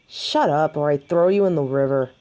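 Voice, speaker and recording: A woman's voice: deep voice, normal speed, mellow, close to an everyday voice. A woman playing a young, stubborn, hot-headed female werewolf in an audio roleplay drama. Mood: gruff, teasing.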